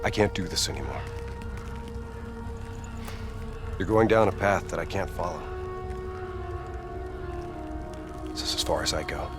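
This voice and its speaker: An actor playing an intense soldier under an artificial intelligence's spell in a video game, earnest and persuasive. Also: male voice, low voice, quick pace, lightly acted. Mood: resigned. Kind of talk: dramatic video game acting. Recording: clear music behind the voice.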